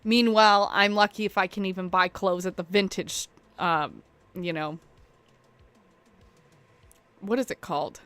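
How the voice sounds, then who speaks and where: A woman's voice: medium pitch, steady tone, measured pace, long pauses, fairly bright tone, clear, resonant tone. A young woman streamer, chatting casually and rambling, self-deprecating and laughing often. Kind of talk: casual chat while waiting on a stream.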